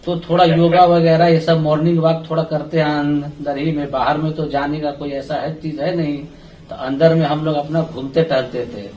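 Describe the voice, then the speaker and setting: Deferential man, higher-pitched, echoey noisy line. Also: darkish tone. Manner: deferential, frequently adding "sir". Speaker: rescued tunnel worker, group spokesman. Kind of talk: respectful spoken account on a phone call. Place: room with other workers, on a phone call. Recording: echoey room on speakerphone, clear noise.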